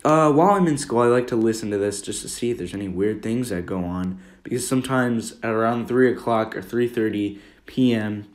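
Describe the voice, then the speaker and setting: A man's voice: on the low side, fairly quick, few pauses. A young American man giving rambling, informal commentary over a screen recording in an earnest way. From Minnesota, United States. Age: teenager or young adult. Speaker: amateur video maker. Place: room at home. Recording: roomy, echoey home recording.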